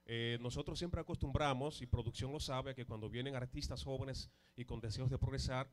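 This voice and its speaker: A man's voice: mid-range voice, steady tone, a little fast. The man you'd hear on a local variety show, politely interviewing the next guest in the studio, repeating a word as he goes. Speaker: TV host interviewer. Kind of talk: live TV interview. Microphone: handheld microphone.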